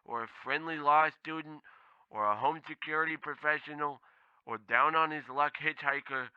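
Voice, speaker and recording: A man's voice: on the high side, moderate pace, smooth, no room sound, highs cut off, close to an everyday voice. A man playing a blithely tactless temp agency clerk who rambles and bumbles in a comedy radio play. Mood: ominous warning. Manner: rambling, bumbling, bluntly insensitive.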